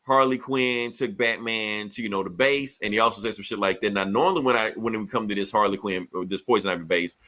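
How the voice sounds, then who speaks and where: A man hosting a podcast, retelling comic book stories in casual, profane slang with animated delivery. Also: lowish voice, fast, hardly any pauses. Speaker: podcast host.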